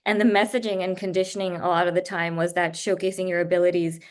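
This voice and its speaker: A woman guest speaker from Japan with a slightly low voice. She explains her points in a measured, thoughtful way during an online interview. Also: flat delivery. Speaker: guest speaker, writer and editor. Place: indoors on a video call.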